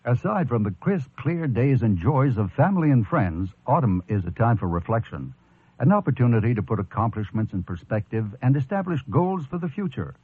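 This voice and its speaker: Husky announcer, thin radio sound. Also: male voice, medium pitch, big pitch swings, moderate pace, no echo, faint music behind. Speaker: public service announcer. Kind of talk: scripted earnest narration. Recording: phone-like narrow radio sound, dry.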